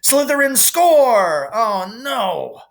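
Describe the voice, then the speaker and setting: A man voicing an excitable, biased schoolboy calling a match in a storybook reading. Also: very high-pitched, very slow, vocal fry, pitched above the performer's own voice, exaggerated voice. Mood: excited, cheeky. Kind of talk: live match commentary.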